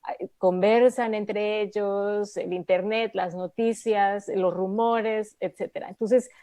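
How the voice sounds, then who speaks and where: A woman's voice: mid-range voice, fairly even intonation, slow pace, mellow. A woman hosting a live radio health interview, asking questions and backing up her guest with frequent affirmations. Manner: supportive, with frequent backchannel affirmations. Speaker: radio host.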